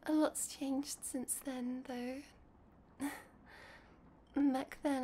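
A woman playing a shy, affectionate young vampire in a soft, hesitant, intimate ASMR roleplay, often dropping to a whisper. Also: high voice, slight background noise. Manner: gentle, hesitant, rambling, often drops to a whisper.

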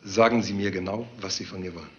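Evasive, quick-talking doctor. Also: male voice, sits low, steady tone, fairly bright tone. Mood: defensive. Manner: hedging, guarded. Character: evasive, defensive male doctor under questioning. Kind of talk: German radio crime drama acting. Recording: dull sound with faint noise.

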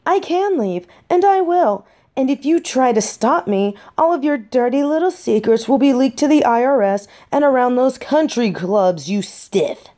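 A woman's voice: on the high side, lively intonation, moderate pace, hardly any pauses, mellow, close to an everyday voice. A young woman playing a defiant, foul-mouthed biker girlfriend in a scripted audio roleplay, with emphatic, dramatic delivery. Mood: angry, defiant.

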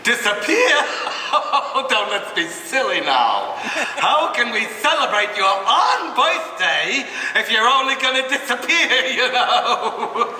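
A man voicing a giddy, eccentric cartoon tea-party host live on stage, giggly and excitable. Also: very high voice, slow, full character voice. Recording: echoey hall, faint noise.

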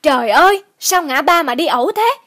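A woman voicing a rude, angry male driver in a cartoon, scolding loudly. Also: sits high, expressive, fairly quick, soft background music. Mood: angry, scornful. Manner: scolding, loud. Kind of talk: cartoon dubbing.

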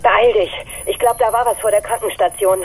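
An actress in an audio drama playing a tense crew member speaking over the ship's radio. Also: female voice, mid-range voice, fairly even intonation, brisk, a little hiss or hum, poor audio. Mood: urgent.

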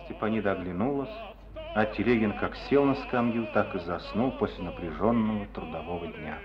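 A man narrating a Soviet radio drama in a measured, solemn storytelling voice. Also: fairly low pitch, music in the background. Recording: dull old archival radio recording.

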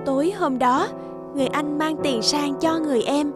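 High-voiced woman narrating a fairy tale. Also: moderate pace, over loud music.

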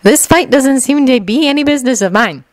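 A woman's voice: high-pitched, lively intonation, a little fast, dry recording, voice pushed up. A woman voicing an unimpressed, politely blunt teenage girl with a matter-of-fact manner.

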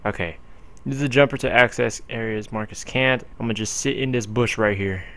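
A man's voice: medium pitch, steady tone, normal speed, a bit bright. A man doing casual let's play commentary in an informal, profane, slangy way. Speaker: gaming video host.

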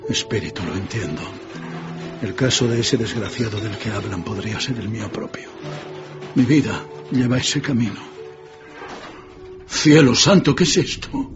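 An actor playing a frightened, repentant old miser in a radio drama, imploring and dramatic, with a gravelly voice. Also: male voice, on the low side, moderate pace, pauses often, close to an everyday voice. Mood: troubled. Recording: dull sound under clear music.

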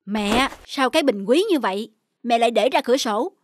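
A woman voicing an earnest, easily upset young married daughter-in-law in an animated moral tale. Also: a little high, moderate pace. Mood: startled, puzzled. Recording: background music under the voice.

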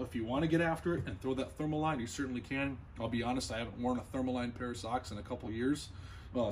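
Thirties male outdoors host, mid-pitched, earnest tips to camera in a small indoor room, faint background hiss. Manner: earnest, enthusiastic, repeats himself and restarts phrases. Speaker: fishing channel host. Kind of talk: casual gear-tips talk to camera.

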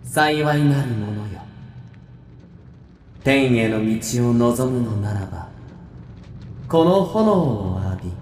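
An actor playing a solemn angel who proclaims the way to heaven in a radio drama. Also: male voice, mid-range voice, a little mellow, a little hiss or hum. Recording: echoing voice over music.

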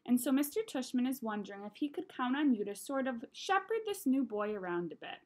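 A woman voicing an earnest, coaxing mother in a storybook, gently insistent and persuasive. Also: a little high, sing-song, a little fast.

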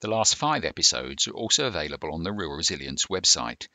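Bright, deep-voiced man narrating. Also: sing-song, a little fast, dry recording. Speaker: presenter. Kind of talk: scripted instructional narration.

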